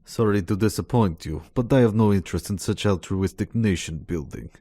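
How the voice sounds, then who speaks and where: A man's voice: lowish voice, a little fast, warm tone, no room sound, clean studio sound, close to an everyday voice. A man acting in a radio drama as a menacing Russian assassin, taunting and theatrical in delivery. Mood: gloating. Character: menacing, gloating Russian male knife-wielding assassin.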